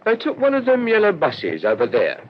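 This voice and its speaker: Lively English police constable. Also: male voice, high voice, normal speed, slight background noise. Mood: obliging. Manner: colloquial, deferential. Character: obliging, plain-spoken male London police constable. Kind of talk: radio drama acting. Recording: muffled lo-fi radio drama recording.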